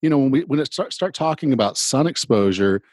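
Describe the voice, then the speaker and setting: A middle-aged man hosting a podcast who talks haltingly and repeats words as he searches for the right phrasing. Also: mid-range voice, lively intonation, a little mellow, no echo, studio quality. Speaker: podcast host. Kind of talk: casual interview talk.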